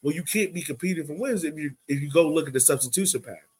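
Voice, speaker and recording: A man's voice: on the high side, fast, darkish tone, slightly rough. A man co-hosting a sports podcast, talking casually and emphatically, pressing his point by repeating it. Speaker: podcast host.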